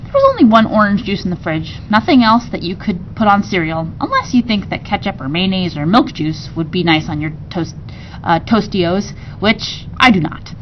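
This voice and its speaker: Woman reading a storybook aloud, muffled and rumbly. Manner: expressive, acts out dialogue, pauses to explain pictures. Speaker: teacher reading aloud to students. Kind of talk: storybook read-aloud with asides. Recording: muffled recording with clear rumbling noise.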